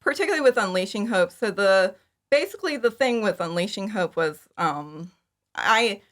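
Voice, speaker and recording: A woman's voice: medium pitch, lively intonation, normal speed, a bit bright. A woman talking casually and informally as an interview guest, chatty and prone to acting out her own remarks. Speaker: podcast guest, fan audio drama creator.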